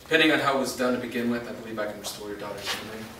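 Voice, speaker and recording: A man playing a wounded, resolute part-vampire fighter in a staged reading, speaking in a serious, measured, explanatory way. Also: lowish voice, steady tone, natural voice. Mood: measured, explaining. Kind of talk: staged reading of a fantasy drama. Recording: echoey room, distant mic, faint noise.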